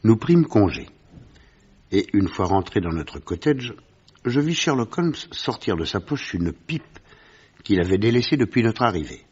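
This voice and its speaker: Casting: doctor-narrator, deep and husky, composed and sensible, detective radio play with dull sound. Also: male voice, sing-song, measured pace, darkish tone, full character voice. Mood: composed, storytelling. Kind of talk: scripted radio drama narration.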